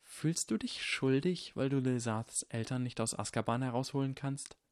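A man voicing a shy, sincere schoolboy in an audiobook, speaking in an earnest, halting, plainspoken way. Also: mid-range voice, no echo, studio quality, voice pushed up, close to an everyday voice. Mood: blunt.

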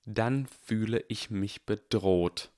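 A defiant young schoolboy wizard talks with a clipped, deadly calm, as a male narrator puts him on for an audiobook. The voice sits higher and louder than the narration around it. It is recorded close and dry. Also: takes it slowly, dry recording. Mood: icy calm.

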